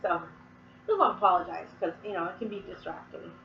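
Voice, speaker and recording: A woman's voice: on the low side, quick pace, gravelly, roomy sound, recorded from a distance, highs cut off, a little hiss or hum. A woman from Massachusetts explaining Wiccan verses in casual, rambling talk full of fillers, sniffling and coughing from a cold. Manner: chatty, rambling with many fillers. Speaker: Wiccan teacher.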